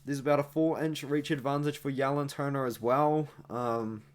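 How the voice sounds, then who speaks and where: A man's voice: medium pitch, fairly even intonation, normal speed. A young man with a New Zealand accent, hosting an MMA analysis channel and chatting through a fight prediction in a rambling, self-correcting way, with asides to viewers. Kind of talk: casual fight prediction monologue.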